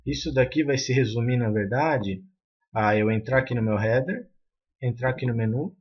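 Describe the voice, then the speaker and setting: A man's voice: medium pitch, moderate pace, husky, highs cut off. A man with a Brazilian accent explaining a coding tutorial step by step, with frequent fillers and restarts. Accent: Brazilian Portuguese. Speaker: programming tutor.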